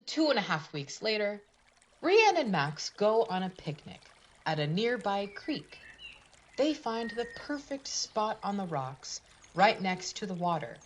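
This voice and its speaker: A woman's voice: mid-range voice, big pitch swings, measured pace, slight background noise. A woman narrating a scripted storybook tale for a puppet show in a dramatic fairy-tale manner.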